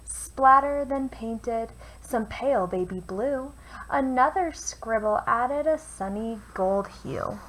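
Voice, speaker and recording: A woman reading a children's picture book aloud with expressive, deliberate phrasing, as a teacher would for young listeners. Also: a little high. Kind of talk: children's storybook read-aloud. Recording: noisy home recording.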